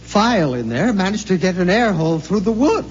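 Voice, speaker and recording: An actor playing a shaken, frightened husband who stammers urgently in a 1950s radio drama. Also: male voice, sits high, very animated, a little fast. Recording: dull 1950s radio broadcast with faint hum.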